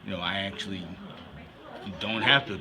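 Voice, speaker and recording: A middle-aged man giving casual, halting, reflective interview answers as a festival founder. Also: low voice, noisy background. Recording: loud crowd chatter behind the voice.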